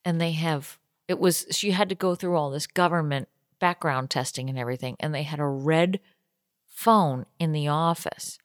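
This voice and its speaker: A woman's voice: deep voice, normal speed, no echo, clean studio sound. A young woman co-hosting a podcast from a basement lounge, chatty and joking, laughing often. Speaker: podcast co-host. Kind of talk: casual chat. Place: home basement tiki lounge.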